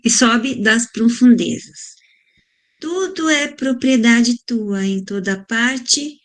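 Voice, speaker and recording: A woman reading a prayer aloud slowly and carefully, now and then slipping over a word. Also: a little high. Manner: slow, careful reading with small slips. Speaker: prayer reader.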